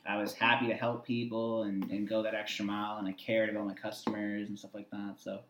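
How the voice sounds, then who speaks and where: A man in his twenties telling stories casually as a guest, animated and repeating himself for emphasis. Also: fairly low pitch, a little fast, noisy background. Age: 20s. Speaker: interview guest. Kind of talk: casual conversational storytelling. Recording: echoey room sound.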